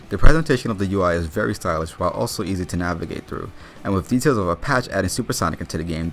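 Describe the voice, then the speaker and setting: A man's voice: sits low, gravelly, fairly bright tone. A man narrating a scripted video game review, explaining things methodically as the host.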